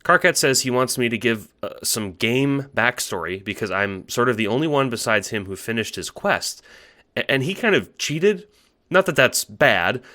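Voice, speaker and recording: A timid young alien troll, played by a man, speaks in a bright, forward voice. He stammers and second-guesses himself as he goes, anxious to please, in the manner of an audio drama. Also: mid-range voice, animated. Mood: nervous, apologetic. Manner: halting, stammering, self-doubting. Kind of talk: audio drama monologue and dialogue.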